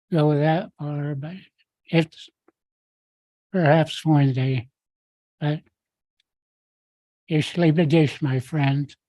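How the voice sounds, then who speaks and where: An older man speaking at a council meeting's public comment, halting and rambling with many fillers. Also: fairly high pitch, mellow, no room sound, low-quality recording.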